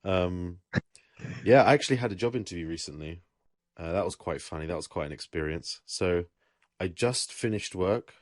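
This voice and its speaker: A man's voice: low-pitched, normal speed, no echo, studio quality. A young man from England chatting casually, rambling and correcting himself as he tells stories. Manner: relaxed, rambling, with self-corrections. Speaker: podcast host. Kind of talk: casual chat.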